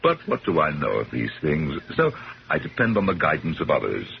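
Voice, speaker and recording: An actor playing a courteous, rambling old bachelor collector in a 1970s radio drama, genteel and hesitant, with a creaky voice. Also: male voice, very deep voice, huge pitch swings, brisk, heavily acted. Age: older. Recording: dull, hissy old radio broadcast.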